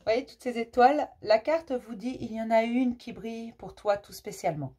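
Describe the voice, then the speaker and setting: French woman, fairly high voice, husky with a cold, gentle and hesitant tarot reader, fillers and restarts. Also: moderate pace. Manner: affectionate toward viewers. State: has a cold. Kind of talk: casual card reading for viewers.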